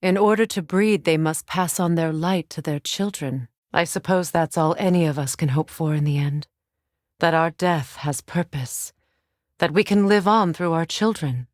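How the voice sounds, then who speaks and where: An actress playing a solemn, regal Norse goddess warrior in a video game, speaking in a measured, grave, formal manner. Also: female voice, low voice, a little mellow, no echo, studio quality, close to an everyday voice. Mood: solemn, reflective. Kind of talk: dramatic video game voice acting.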